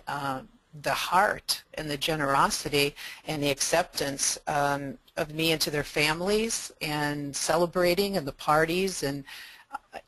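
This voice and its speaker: A woman's voice: deep voice, fairly bright tone, no echo, poor audio. A woman speaking as a panelist in a church webinar, telling reflective stories about serving in parishes. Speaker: webinar panelist, catechetical leader. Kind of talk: webinar discussion.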